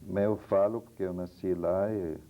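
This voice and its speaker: A man with a very low, deep voice speaks Portuguese with an Italian accent, his answers halting and short, often tagged with a questioning "no?". The sound is dull and lo-fi, like an older TV interview. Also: fairly even intonation. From Egypt. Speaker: interview guest. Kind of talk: hesitant conversational interview answers.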